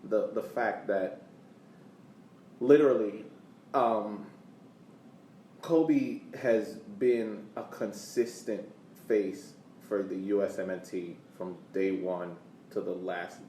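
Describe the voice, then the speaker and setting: Male co-host, lowish voice, halting explanatory chat, sitting back from the mic. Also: dark tone. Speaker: sports podcast co-host. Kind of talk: casual soccer discussion. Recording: roomy, distant mic, faint noise.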